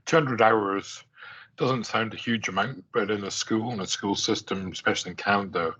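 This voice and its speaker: A middle-aged man with an Aberdeen Scottish accent gives a conference talk in a loose, conversational way. His voice is low, husky and bright-edged, and the audio is dull and lo-fi. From Scotland. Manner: conversational with frequent fillers and restarts. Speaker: conference speaker. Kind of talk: conference presentation.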